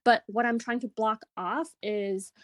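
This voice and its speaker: A woman whose first language is Chinese, talking casually as an interview guest, relaxed, with fillers and frequent laughs. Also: a little high, unhurried, dry recording, studio quality. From China. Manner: easygoing, many fillers, laughs often. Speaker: guest, business co-founder.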